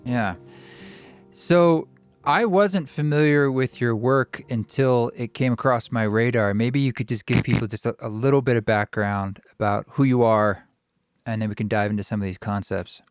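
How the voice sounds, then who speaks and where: A man hosting a podcast, speaking thoughtfully with pauses and fillers as he reflects and introduces a guest. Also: mid-range voice, smooth, no room sound, soft background music. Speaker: podcast host. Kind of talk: reflective podcast monologue and interview.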